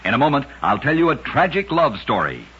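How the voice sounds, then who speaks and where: A man narrating scripted strange tales for an old-time radio show, with deliberate, dramatic storytelling delivery. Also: mid-range voice, big pitch swings, fairly bright tone, breathy edge. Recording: dull old radio recording.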